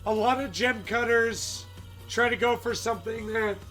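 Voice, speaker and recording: A man with a raspy, strained voice chats casually on a live stream, searching for words and greeting viewers by name. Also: extremely high pitch, moderate pace, fairly bright tone, faint music behind.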